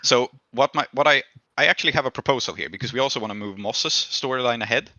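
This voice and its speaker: Bright-voiced man running a tabletop game. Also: medium pitch, fast talker, dry recording, lo-fi sound. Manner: thinks aloud, explanatory, hedging proposals. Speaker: game master and host. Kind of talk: casual tabletop roleplay discussion.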